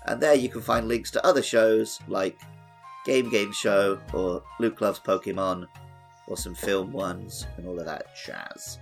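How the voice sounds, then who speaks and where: A man's voice: on the low side, fairly even intonation, a little slow, gravelly. A man co-hosting a podcast in a casual, jokey and informal way. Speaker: podcast co-host. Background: music.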